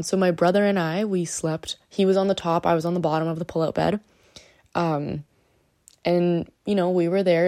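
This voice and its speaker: A young woman tells her story in a casual, hesitant way, stopping often for fillers. Her voice is low and gravelly, recorded close and dry. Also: darkish tone, dry recording. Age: young adult. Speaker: guest. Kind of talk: telling a personal story.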